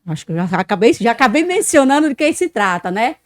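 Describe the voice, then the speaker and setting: A woman hosts a podcast interview, her voice sitting a little high. She talks expressively and often adds little tag questions. The sound is close and dry. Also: fast talker, dry recording. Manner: expressive, frequent "né", loud greetings. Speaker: podcast host.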